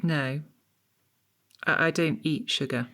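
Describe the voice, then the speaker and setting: An actress playing a prim, austere art tutor in an audio comedy drama, clipped and schoolteacherly, with faint disapproval. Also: female voice, low voice, slow pace, fairly bright tone, heavily acted. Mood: curt.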